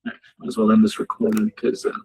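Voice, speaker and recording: A man's voice: fast talker, a little mellow, a little hiss or hum. A man hosting a live trading session from Dubai, chatting casually, joking with viewers in the chat and laughing often. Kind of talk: casual live-stream commentary. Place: home office in Dubai.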